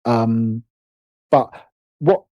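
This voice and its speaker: A man's voice: mid-range voice, lively intonation, extremely slow, no echo, lo-fi sound. A middle-aged British man talking casually and reflectively as an interview guest, hesitant and self-deprecating as he thinks aloud. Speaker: podcast guest, writer and producer.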